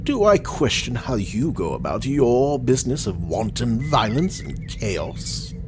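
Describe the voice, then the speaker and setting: An actor playing a frail, proud and touchy reptilian scientist in an audio drama, speaking in a pompous, formal manner. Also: male voice, medium pitch, very animated, unhurried, background noise. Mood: indignant. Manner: pompous, formal, clipped and indignant. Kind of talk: audio drama villain acting.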